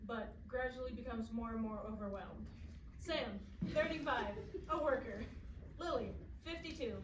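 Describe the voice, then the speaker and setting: A woman reading stage directions as scripted narration at a staged play reading. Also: on the high side. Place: indoor performance room, distant mic. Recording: echoey distant theater recording, noisy.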